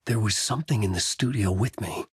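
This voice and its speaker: An actor playing a brooding, haunted male writer who gravely narrates his own horror story in a husky voice for a video game. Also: lowish voice, brisk, no room sound, clean studio sound. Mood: brooding, ominous.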